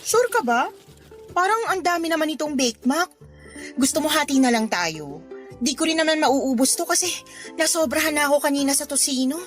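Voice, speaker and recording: A sassy Filipino woman next door in a radio drama. She is high and very animated, with a sarcastic, defensive edge. Light music plays behind her. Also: normal speed. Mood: breezy, teasing. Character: Chrissy, sassy, defensive, thrifty female neighbour. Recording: music bed under the voice.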